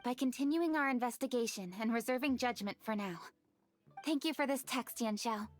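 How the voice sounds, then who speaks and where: Woman as a high-voiced, formal young noblewoman. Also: faint music behind, lightly acted. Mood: composed. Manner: formal, measured, articulate. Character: composed, formal, brisk-minded young noblewoman scholar. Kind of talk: anime-style video game voice acting.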